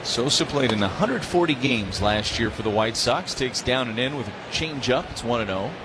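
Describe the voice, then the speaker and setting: A man's voice: medium pitch, animated, normal speed. American baseball play-by-play man over stadium noise. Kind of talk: live radio baseball commentary. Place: stadium broadcast booth during a game. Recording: clear crowd noise behind the voice. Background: ballpark ambience.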